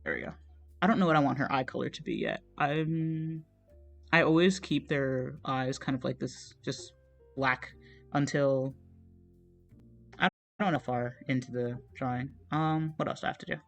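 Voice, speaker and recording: A young man streaming as he draws, chatting casually in a meandering, soft, self-deprecating way, with plenty of fillers and trailing thoughts. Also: medium pitch, steady tone, moderate pace, creaky, faint music behind. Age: young adult. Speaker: art streamer. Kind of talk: casual chat while drawing on a livestream.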